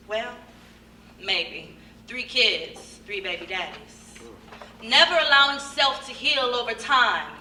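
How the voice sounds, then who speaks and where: Echoey, very sharp-voiced woman performing spoken word. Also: fairly high pitch. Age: young adult. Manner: emphatic, theatrical, dramatic pauses. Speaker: monologue performer. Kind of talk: dramatic spoken-word poetry recited on stage. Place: stage in a roomy hall. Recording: echoey hall from far off, faint noise.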